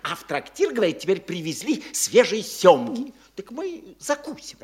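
An actor playing a fussy, chattering middle-aged provincial landowner who stammers and rambles breathlessly in a radio play. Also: male voice, high-pitched, huge pitch swings, normal speed, a little mellow. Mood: eager. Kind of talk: stage radio play acting.

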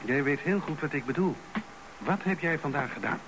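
A man's voice: a little high, lively intonation, moderate pace, natural voice. An actor playing a jealous, suspicious husband in his fifties in a radio drama, probing and insinuating. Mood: accusing, evasive. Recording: noisy radio broadcast recording.

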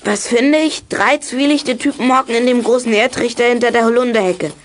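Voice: medium pitch, brisk, a little hiss or hum, lightly acted. A young performer playing a plucky, boastful boy detective in a German children's radio play from the 1980s. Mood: excited.

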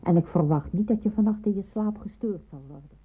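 An actress playing a kindly but firm matron of a wartime safe house, speaking in a measured, instructive way in a radio drama. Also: female voice, medium pitch, husky, lightly acted. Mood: reassuring. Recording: muffled, narrow-band old radio recording.

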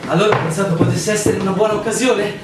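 A man playing an excitable, busy village messenger in a theatrical radio drama. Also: sits high, quick pace, lightly acted. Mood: eager. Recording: very echoey, distant, noisy room recording.

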